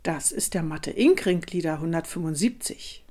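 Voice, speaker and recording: A woman's voice: low voice, animated, moderate pace, mellow. A woman playing a gushing social media influencer, reading posts, hashtags and emojis aloud in an audio collage. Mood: cheerily promotional.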